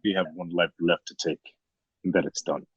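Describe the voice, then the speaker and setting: Man playing a deep, husky, grim gladiator. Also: fairly even intonation, moderate pace, dry recording, highs cut off. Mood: quiet menace. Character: grimly restrained Roman gladiator, defying the emperor. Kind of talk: amateur radio drama acting.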